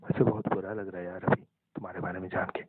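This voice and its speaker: A young man in an intimate phone conversation, talking in a halting, subdued, resigned way. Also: sits low, flat delivery, breathy edge. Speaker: caller talking with an ex-partner. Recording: muffled narrow-band phone call, echoey.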